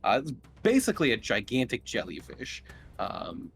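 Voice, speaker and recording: A man's voice: medium pitch, huge pitch swings, moderate pace, creaky, a bit bright. A man running a tabletop horror game as game master, narrating casually and feeling his way through explanations. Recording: faint music under the voice. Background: music.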